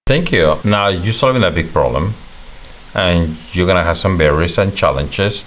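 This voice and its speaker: A man's voice: deep voice, lively intonation, moderate pace, a bit bright. A man interviewing a student team, often rephrasing his questions as he goes.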